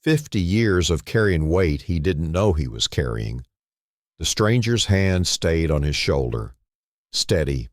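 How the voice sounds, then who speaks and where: A man narrating a scripted dramatic story in a solemn manner, in short phrases with pauses. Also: low-pitched, expressive, no echo, studio quality.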